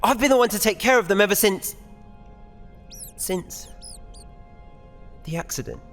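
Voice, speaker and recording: A woman's voice: mid-range voice, expressive, measured pace, soft background music. A woman playing a desperate, determined young captive, the eldest sibling, speaking earnestly and urgently in an audio drama. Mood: earnest, worried. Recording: long hall-like reverb.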